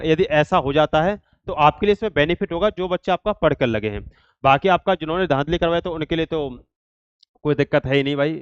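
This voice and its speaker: A man's voice: fairly high pitch, smooth, no room sound, lo-fi sound. A man giving students an informal explanatory exam update, repeating himself and leaning on verbal tics. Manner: repetitive, filler-heavy explaining with habitual verbal tics. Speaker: exam update channel host and coach.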